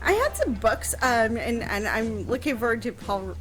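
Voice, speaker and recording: A woman talking as a historian on a casual show, explaining things in a hesitant, halting way. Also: on the high side, big pitch swings. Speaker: historian guest answering questions on a radio show.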